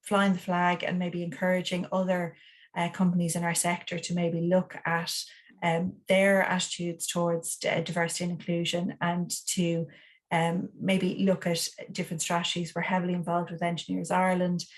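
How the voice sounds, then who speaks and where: A woman's voice: fairly low pitch, monotone, moderate pace. A woman speaking as an award finalist in an online panel interview, hesitant, with many restarts and fillers. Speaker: award finalist, company representative.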